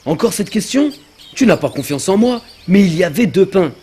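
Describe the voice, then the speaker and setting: A man's voice: high-pitched, lively intonation, normal speed, mellow, slightly rough. A man voicing a greedy, cowardly traveling companion in a religious cartoon, given to sly muttered asides and jittery protests. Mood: defensive, uneasy. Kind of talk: dubbed religious cartoon acting. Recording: music under the voice.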